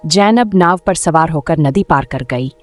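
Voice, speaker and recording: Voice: mid-range voice, measured pace. A narrator reading a scripted children's story in a storytelling style. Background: music.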